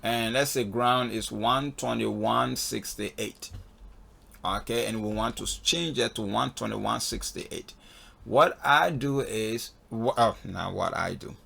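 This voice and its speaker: Male instructor, heavily creaky and husky, casual step-by-step tutorial delivery. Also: medium pitch, takes it slowly, pushed and bright. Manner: step-by-step, repeatedly checking in with "okay". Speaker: flight instructor in a tutorial video. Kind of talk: casual spoken software walkthrough. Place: voice-over on a screen recording.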